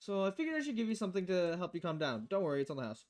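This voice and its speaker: A woman voicing a calm female bartender in a video game, with a dry, deadpan, unhurried delivery. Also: lowish voice.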